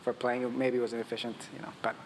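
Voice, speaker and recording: A man from Ethiopia talks conversationally as a founder on a conference panel. His voice sits at a medium pitch and he often restarts mid-thought. The sound rings in a large hall, with some noise underneath. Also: level tone, fast. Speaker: tech startup founder, panel guest. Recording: echoey hall with long reverb, audible noise.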